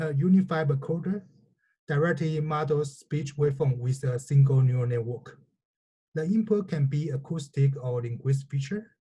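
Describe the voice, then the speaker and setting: A man whose first language is Mandarin, giving a measured, explanatory technical lecture. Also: fairly high pitch, dark tone.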